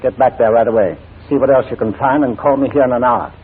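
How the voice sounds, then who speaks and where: An actor playing a courteous, avuncular older General, a hesitant police chief in a 1940s radio drama. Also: male voice, mid-range voice, steady tone, quick pace, natural voice. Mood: troubled. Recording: scratchy, narrow-band 1940s radio recording.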